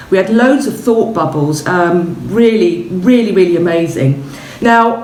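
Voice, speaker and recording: A woman teacher giving an informal show-and-tell lesson to children, chatty, explaining things simply and often checking in with a quick okay. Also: mid-range voice. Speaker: primary school teacher. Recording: roomy echo, distant mic, faint noise.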